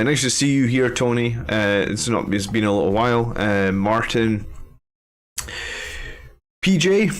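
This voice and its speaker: Stuffed-up guy rambling to his chat, kinda low voice, lots of ums. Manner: chatty, greeting viewers by name, with frequent ums and uhs. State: congested from a head cold, with a cough. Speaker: host of a live army-list stream. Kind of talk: casual live-stream chat.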